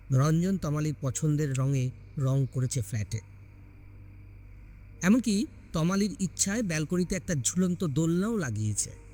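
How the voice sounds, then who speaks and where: A man's voice: a little high, darkish tone. A man reading a scripted horror story as a dramatic narrator.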